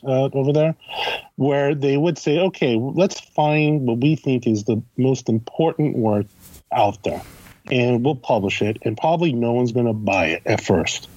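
A man's voice: mid-range voice, a little slow, a little mellow, dry recording, muffled recording. A man speaking as a podcast guest and critic, explaining in conversation and often posing questions as he goes. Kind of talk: conversational interview commentary.

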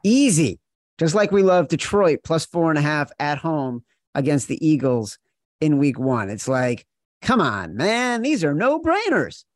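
A man's voice: a little high, very animated, unhurried, dry recording. A man co-hosting a sports betting show, talking in an emphatic, playful way straight to his partner. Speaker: podcast co-host.

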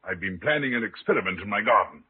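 Proud blustery army colonel, very animated, tinny noisy old radio play. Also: male voice, lowish voice, quick pace, breathy edge. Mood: defensive, explaining. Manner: indignant, stiff, blustering. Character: proud military colonel accused of poisoning his wife. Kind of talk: 1940s-50s radio acting. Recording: noisy, band-limited old radio broadcast.

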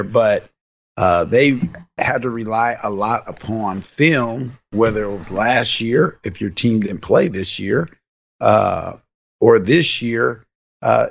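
A hoarse man with a raspy voice, co-hosting a casual podcast chat as a high school football coach, talking in a relaxed, rambling way with many fillers. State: hoarse from yelling on the sideline.

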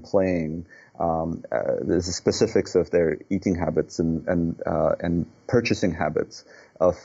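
A deep-voiced man from Nepal talks through his answers earnestly, stopping on fillers and repeated words. His voice is dark and husky. The sound is dull and lo-fi, like a remote line. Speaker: guest, healthcare executive. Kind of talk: podcast interview answers.

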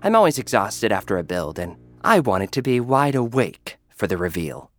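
A man's voice: lowish voice, sing-song, moderate pace, soft background music. A man narrating a first-person audio drama in a dry, sardonic, arrogant manner. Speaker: narrator and lead character.

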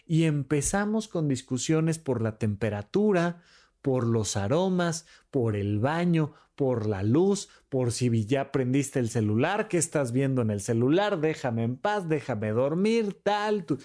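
A man hosting a podcast, talking casually about relationships and acting out bickering couples in quick quoted voices. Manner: animated, swings between high and low pitch. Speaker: podcast host.

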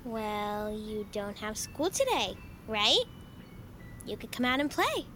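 A woman's voice: medium pitch, very animated, slow pace, pushed and bright, slight background noise, heavily acted. An actress playing a strange, pushy little girl in an audio drama, coaxing and insistent. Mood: insistent pleading. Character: strange little girl, pushy, pleading, eerie new neighbor. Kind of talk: immersive audio drama acting.

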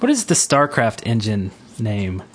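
A man's voice: lowish voice, huge pitch swings, a little slow. A man co-hosting a gaming podcast in casual chat, agreeable and thinking aloud as he goes. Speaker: podcast co-host.

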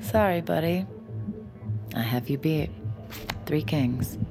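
An actress playing an anxious, smitten poker player with a secret gift, voicing her inner thoughts in breathy, self-scolding asides in an audio drama. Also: low-pitched, slow, over music. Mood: dejected. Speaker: lead character in a fiction podcast. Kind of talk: intimate inner-monologue audio drama acting.

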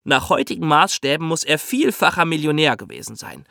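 A man's voice: fairly high pitch, big pitch swings, brisk, vocal fry, sharp tone, no echo, clean studio sound. A man co-hosting a history podcast, explaining things in a colloquial, storytelling way.

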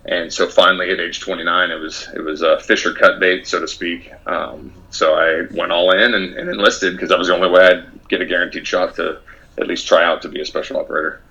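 A man being interviewed about his past in a measured way, with frequent fillers and restarts. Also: sits low, steady tone, vocal fry, sharp tone, slightly rough, highs cut off. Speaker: guest, former soldier.

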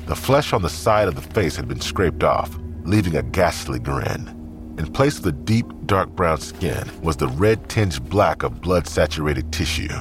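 A man with an extremely low voice narrates a crime drama. His delivery is measured and descriptive, with lively movement in pitch. Faint music plays underneath.

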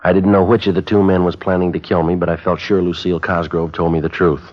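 Man acting a low, clipped undercover detective. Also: flat delivery, fast, close to an everyday voice. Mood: matter-of-fact. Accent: American. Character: cool undercover police detective posing as drug buyer. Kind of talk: 1950s radio drama acting and terse narration. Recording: dull 1950s radio recording.

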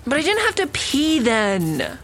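A woman's voice: high voice, huge pitch swings, measured pace, fairly bright tone, exaggerated voice. An actress playing a curious young woman who narrates her story in an intimate, wry way in an audio drama. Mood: playful teasing. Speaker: narrator and lead character. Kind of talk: audio drama first-person narration and natural dialogue. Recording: faint music behind the voice.

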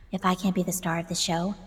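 An actress playing an unhinged, self-pitying diva in a melodramatic, indignant comic inner monologue. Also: female voice, lowish voice, breathy edge. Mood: furious, defiant. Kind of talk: comedic inner monologue in audio drama. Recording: long reverb, music underneath.

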